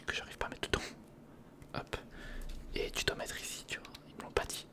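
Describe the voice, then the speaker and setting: A voice that stays in a whisper throughout, breathy and without tone. It is an ASMR creator commenting casually on a build, muttering and thinking aloud in short phrases. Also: a little fast.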